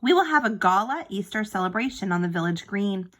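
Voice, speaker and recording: A woman's voice: mid-range voice, animated, brisk, close to an everyday voice. A woman voicing the mayor of a mouse town in a storybook, making formal announcements. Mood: announcing.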